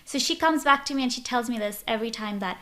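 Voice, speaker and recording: A woman's voice: a little high, fast, a bit bright. A woman in her twenties from Bangladesh, a podcast guest telling her story in a bubbly, open way. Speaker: guest, social media influencer. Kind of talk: casual interview chat.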